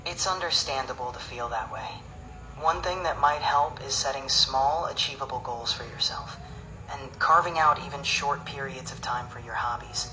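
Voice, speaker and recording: A calm, even-toned synthetic assistant voice that gives advice in smooth, scripted replies. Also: medium pitch, moderate pace, distant mic, noisy background. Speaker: AI chat assistant.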